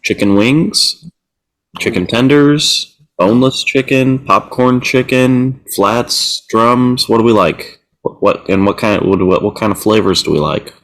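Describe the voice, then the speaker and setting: A man's voice: mid-range voice, fairly bright tone. A man co-hosting a casual chat, easygoing, with a few stammers. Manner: easygoing, drawn-out listing, stammers a little. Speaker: co-host.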